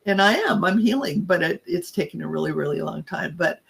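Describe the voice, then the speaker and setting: A woman podcast co-host and coach with a scratchy, raspy voice, telling her own story in a halting, reflective way. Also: lowish voice, very animated. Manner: halting and reflective, trails off mid-thought. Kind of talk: personal storytelling in casual chat.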